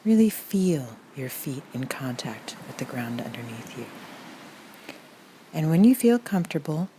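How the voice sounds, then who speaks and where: A woman narrating a scripted parody guided meditation in a calm, soothing, deliberate deadpan. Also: very deep voice, a little hiss or hum, rough recording.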